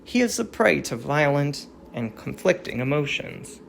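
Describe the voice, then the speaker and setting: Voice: mid-range voice, big pitch swings, measured pace, creaky, a little hiss or hum. An audiobook reader giving scripted dramatic narration of a verse tragedy.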